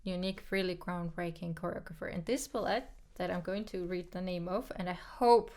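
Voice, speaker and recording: A woman's voice: lowish voice, fairly even intonation, a little fast, darkish tone. A woman whose first language is Georgian, co-hosting a podcast in casual, frank chat, with many fillers and repeated words. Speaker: podcast co-host, pianist.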